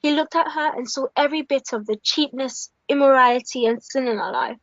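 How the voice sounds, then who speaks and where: A shy reformed outcast played by a woman in a biblical audio drama. She speaks hesitantly and earnestly in a high voice, heartfelt throughout. The sound is dry and slightly dull. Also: fairly quick, vocal fry, fairly bright tone, dry recording, full character voice. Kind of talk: audio play acting.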